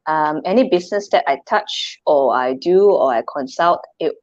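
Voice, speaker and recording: A chatty Malaysian woman in her thirties, talking easily as a guest on a video call. Her voice sits a little low and sounds dry and dull, like a lo-fi line. She laughs often and throws out rhetorical questions. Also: lively intonation, moderate pace, a little mellow, dry recording. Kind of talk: casual chat.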